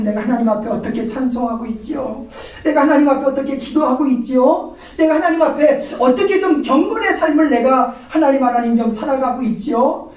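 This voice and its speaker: Woman leading prayer, high voice, fervent repetitive pleading, heavy echo, distant mic. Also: darkish tone. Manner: fervent, repetitive pleading with slowed emphatic phrases. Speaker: prayer leader. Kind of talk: spoken congregational prayer.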